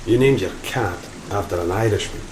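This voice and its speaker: A man's voice: fairly low pitch, fairly quick, background noise, close to an everyday voice. A man playing a grim, sardonic sailor with a criminal past in a gothic horror audio play. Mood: dry, probing. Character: grim, menacing male sailor, gangster's son from Moscow. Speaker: actor in an audio drama. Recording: steady rain and thunder, roomy echo.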